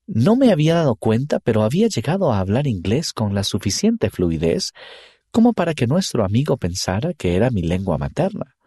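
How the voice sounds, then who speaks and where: A man reading a first-person story aloud in an earnest, expressive way. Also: medium pitch, fairly quick, slightly rough, no echo, clean studio sound. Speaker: reader. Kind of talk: scripted first-person narration.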